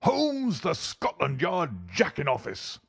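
A man's voice: a little high, wildly swooping pitch, slow pace, gravelly, a bit bright, slightly rough, no echo, studio quality, voice pushed up, exaggerated voice. A man voicing a huge, menacing old doctor, a furious bully who snarls threats and insults in a storybook reading. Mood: furious, scornful. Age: older.

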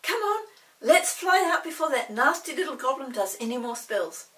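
A woman's voice: high voice, sing-song, moderate pace, fairly bright tone, far from the mic, voice pushed up. A woman voicing an earnest, exasperated young girl in a children's storybook, with an emphatic, chiding way of talking. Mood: excited.

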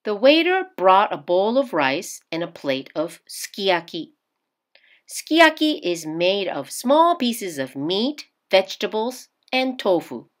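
A woman reads a children's picture book aloud as a storyteller, with deliberate, emphatic phrasing. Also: medium pitch, fairly bright tone. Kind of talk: expressive children's read-aloud narration.

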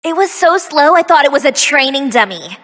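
A woman's voice: high voice, sing-song, brisk, fairly bright tone, in a large hall. A woman voicing a young magical-girl archer heroine for a video game, cocky, peppy and teasing, with sassy, theatrical delivery. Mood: cocky, mocking. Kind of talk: video game character voice lines.

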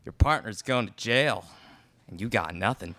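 A man's voice: lowish voice, expressive, moderate pace, sharp tone. A man playing a cool, taunting outlaw in a Western radio play, with a smug, menacing manner. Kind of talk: student live radio play read from script.

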